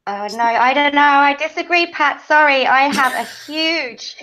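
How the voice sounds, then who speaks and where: A woman's voice: high-pitched, unhurried, pushed and bright, rough recording. A British woman chatting as a podcast guest, playful and laughing a lot. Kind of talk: casual podcast chat.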